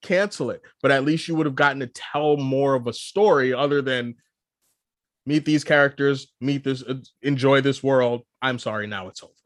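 A man's voice: medium pitch, normal speed, dry recording, clean studio sound. A man co-hosting a podcast, arguing his opinions emphatically in a casual discussion. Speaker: podcast co-host.

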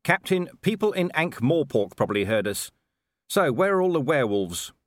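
A man's voice: fairly high pitch, big pitch swings, normal speed, dry recording, clean studio sound, voice pushed up. A man voicing a blunt, dogged police commander in an audiobook. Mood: wry.

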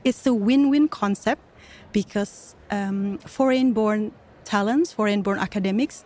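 A woman's voice: mid-range voice, a little mellow. A woman hosting a live podcast interview at an event, speaking in an upbeat, promotional way. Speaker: podcast host.

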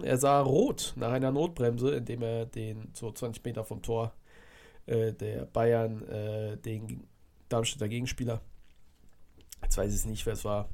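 A man's voice: medium pitch, a little mellow. A man hosting a casual solo podcast, sounding stuffed up from a cold as he rambles through a football recap and corrects himself often. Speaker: podcast host.

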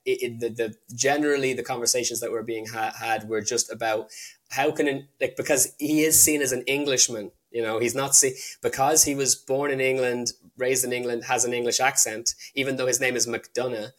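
A young man talking casually as an interview guest, halting with frequent restarts and fillers. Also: mid-range voice, fairly even intonation, fairly bright tone. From Ireland. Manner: hesitant, many restarts and fillers.

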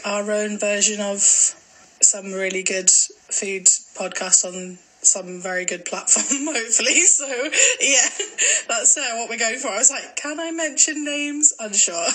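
A woman's voice: mid-range voice, a little slow, pushed and bright, low-quality recording. A woman in her twenties from Glasgow with a mild in-between accent, co-hosting a casual podcast chat, giggling and trailing off with fillers. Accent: mild, mixed Glasgow accent. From Scotland. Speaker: podcast co-host.

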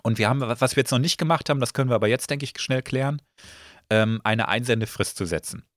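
A slightly hoarse man hosting a casual podcast, relaxed and full of filler sounds. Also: mid-range voice, fast talker, no echo, clean studio sound. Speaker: podcast host.

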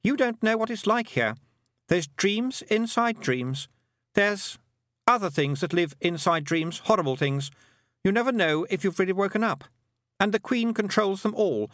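A man's voice: high-pitched, expressive, moderate pace, fairly bright tone, no echo, studio quality, pitched above the performer's own voice. Man doing a frightened, distrustful boy. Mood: fearful. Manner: fearful, hoarse, distrustful.

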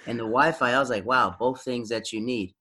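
A man from the United States, a podcast guest chatting casually and telling relaxed stories, acting out the reactions he quotes. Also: medium pitch, brisk. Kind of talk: casual conversational interview.

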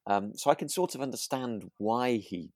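A man co-hosting a podcast in a relaxed conversational style, hesitant and often rephrasing as he goes. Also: mid-range voice, normal speed, no echo, studio quality. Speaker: podcast co-host. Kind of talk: relaxed conversational discussion of a radio drama.

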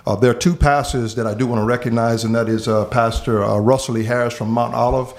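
A man who is a pastor from Miami, earnestly telling his life story in conversation, pausing often on fillers and repeated words. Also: medium pitch, fairly even intonation. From Miami, Florida. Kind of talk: reflective personal storytelling in conversation.

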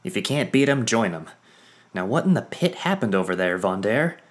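A man voicing a scrappy young woman tinkerer who is excitable and sarcastic. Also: on the low side, moderate pace, pitched above the performer's own voice. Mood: wry.

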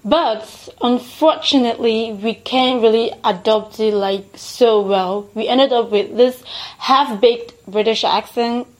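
Bubbly Nigerian woman, high voice. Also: slow pace, rough recording. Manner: enthusiastic, laughs often, many exclamations. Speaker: podcast host. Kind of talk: casual interview chat.

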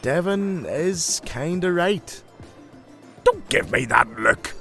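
A man playing a sentimental, gentle young friend who speaks frankly, in an audio drama. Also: high voice, lively intonation, takes it slowly, creaky, faint music behind, exaggerated voice. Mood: frank, concerned.

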